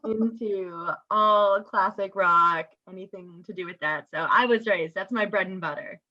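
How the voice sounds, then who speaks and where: A woman's voice: medium pitch, measured pace, sharp tone. A young woman with a Midwestern American accent, chatting casually as an interview guest on a slightly muffled recording. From suburbs of Chicago, United States. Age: young adult. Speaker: guest, theater education student.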